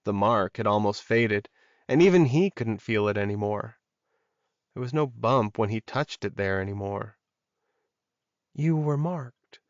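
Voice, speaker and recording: A man's voice: lowish voice, normal speed, dry recording, muffled recording. A man reading scripted fiction as an audiobook narrator.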